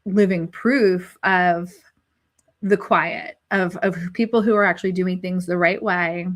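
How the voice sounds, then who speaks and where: A woman's voice: lowish voice, steady tone, unhurried. A woman hosting a live panel discussion, speaking reflectively with frequent restarts, who is five months pregnant. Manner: reflective, searching, many restarts. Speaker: host.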